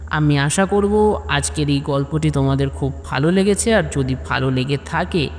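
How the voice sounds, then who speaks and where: A man, speaking as a channel host in an announcement to listeners. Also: fairly high pitch. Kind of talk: scripted outro asking listeners to subscribe. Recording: long hall reverb.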